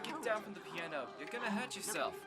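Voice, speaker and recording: A woman's voice: medium pitch, animated, fast talker, loud music behind the voice, over a noisy crowd, lightly acted. A woman playing a bossy, responsible eldest rabbit sibling in a children's audio drama, commanding and formally articulate. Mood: exasperated.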